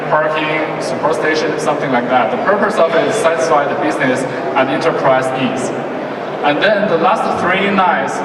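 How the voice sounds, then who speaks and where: A man who is not a native English speaker gives an explanatory conference presentation in halting English. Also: a little high. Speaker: conference presenter, tech executive. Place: large exhibition forum, indoors. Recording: very echoey noisy hall, distant from mic.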